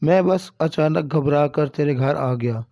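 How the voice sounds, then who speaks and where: High-pitched troubled husband played by a man. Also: a little slow, dark tone, no echo, clean studio sound. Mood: remorseful, resolved. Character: troubled, frightened husband, self-sacrificing friend.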